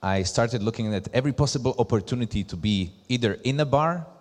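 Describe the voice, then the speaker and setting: A man from Slovakia with a Slovak accent, giving a conference presentation as a brand ambassador in deliberate, emphatic short phrases. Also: mid-range voice. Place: large hall at a live event. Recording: echoey hall with long reverb.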